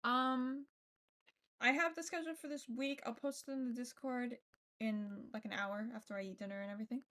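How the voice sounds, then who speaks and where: A woman's voice: on the high side, steady tone, fairly quick, fairly bright tone. A woman game streamer talking casually to her chat, rambling with frequent ums and the odd swear word. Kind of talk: casual live-stream chat while playing.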